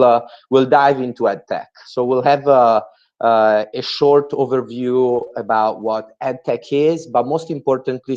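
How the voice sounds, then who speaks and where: A young Italian-accented man gives an earnest webinar presentation, pausing often on "uh". His lowish voice arrives through dull, muffled video-call audio. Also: darkish tone. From Italy. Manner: frequent "uh" fillers, earnest. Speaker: guest speaker.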